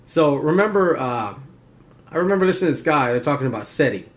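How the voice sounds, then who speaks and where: A man's voice: on the high side, animated, fast. A man hosting a preparedness video, explaining in a casual, unscripted, folksy way, rambling and often checking in with "right?". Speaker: survival and preparedness video host.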